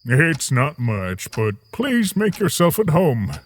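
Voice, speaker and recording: Man playing a lively, gentle fatherly king. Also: on the high side, slow pace, heavily acted. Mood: welcoming. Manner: chatty, courteous, tentative. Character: gentle fatherly king, hospitable, awkward, kind-hearted. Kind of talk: dramatic voice acting for a comic dub.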